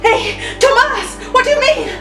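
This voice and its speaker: A woman voicing a frightened old widow who pleads in a shrill, overwrought way. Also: normal speed, fairly bright tone, recorded from a distance. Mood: alarmed. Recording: roomy, off-mic, music behind.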